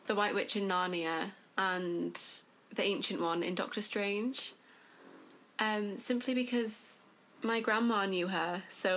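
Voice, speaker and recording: A young woman speaks at a very unhurried pace in a middle register. She chats informally as a guest, hesitating between phrases with a slightly giggly manner. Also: mid-range voice, fairly bright tone, rough recording. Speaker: interview guest, performer. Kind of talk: casual chat.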